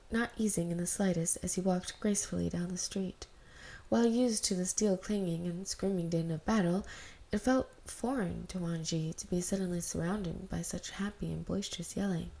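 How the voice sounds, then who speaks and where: A woman with a fairly low, very soft-edged, mellow voice. She is an amateur reader of fan fiction, informal in the way she presents it. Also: normal speed. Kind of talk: casual intro and fan fiction narration.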